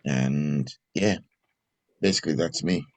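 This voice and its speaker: A man's voice: a bass voice, a little slow, gravelly, darkish tone. A man, a broadcast journalist and trainer, introducing himself briefly and matter-of-factly. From Ghana.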